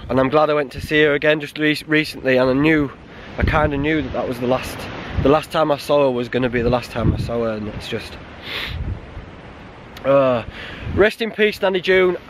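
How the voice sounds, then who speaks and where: An English man in his thirties talking to camera outdoors on a windy mountain, subdued and halting with many restarts. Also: medium pitch. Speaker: hiking vlogger. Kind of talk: casual talk to camera. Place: outdoors on a windy winter mountain, sheltering behind a stone wall. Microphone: camera held close at arm's length. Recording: wind noise on the mic outdoors.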